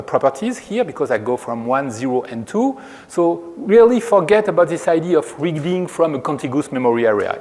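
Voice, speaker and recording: A man from France with a French accent, giving a measured technical lecture as a researcher at a conference. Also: a little high, darkish tone. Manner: measured, explanatory, frequent restarts. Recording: long reverb of a hall.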